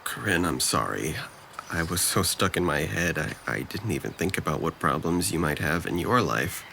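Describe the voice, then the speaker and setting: A man playing a troubled young man in an audio drama, very low in pitch yet bright and tense. He is self-critical and apologetic, and there is some noise behind his voice. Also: lively intonation, normal speed, vocal fry, heavily acted. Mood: remorseful, downcast. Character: depressed, self-critical young college-age nephew, apologetic.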